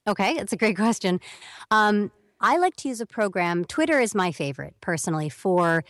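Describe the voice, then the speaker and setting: A woman's voice: medium pitch, animated, normal speed, dry recording, studio quality. A young woman speaking as a guest on an interview podcast, explaining at length in a chatty way and acting out quoted messages. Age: young adult. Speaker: voiceover talent guest.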